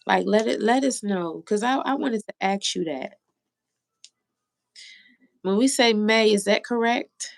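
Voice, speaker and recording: Stuffy woman with a gravelly voice. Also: medium pitch, a little slow, pauses often, no room sound, studio quality. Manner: chatty, talks to viewers in the comments. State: stuffy nose. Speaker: livestream host. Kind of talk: casual live stream chat.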